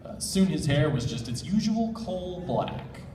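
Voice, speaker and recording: An excitable, jokey man reading fan fiction live as a panel host with comic banter. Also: fairly high pitch, unhurried, a little hiss or hum. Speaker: panel host and story reader. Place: convention panel room. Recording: echoey room, distant mic.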